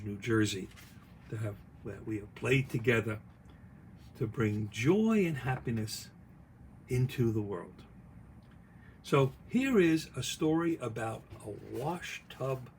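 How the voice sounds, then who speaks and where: Guy reading a kids storybook out loud, slow and really up and down, chatty asides, noisy recording. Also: medium pitch. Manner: folksy, expressive, pausing to comment on the story. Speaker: author reading their own picture book aloud.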